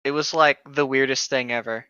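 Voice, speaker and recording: A man's voice: mid-range voice, steady tone, normal speed, pushed and bright, clear, resonant tone, no echo, muffled recording. A man co-hosting a podcast, joking casually with slangy, profane, mocking commentary on a book. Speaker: podcast co-host.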